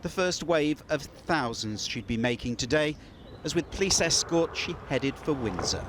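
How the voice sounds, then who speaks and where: A man reading a scripted television news report in a measured, storytelling way over a close mic. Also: fairly high pitch, animated, noisy background. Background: music under the narration.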